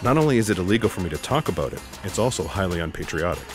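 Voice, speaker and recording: A man's voice: deep voice, lively intonation, racing. A man playing a disillusioned, wry ex-secret agent, dry and wordy, in a comic sci-fi audio drama with music behind. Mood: wry, indignant.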